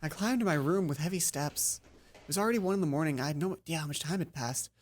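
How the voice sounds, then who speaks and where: A woman's voice: low voice, fast, a little mellow. A woman reading a visual novel game aloud, tripping over the text and breaking off into casual, exasperated commentary. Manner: stumbles over read text, exasperated asides. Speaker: let's play host.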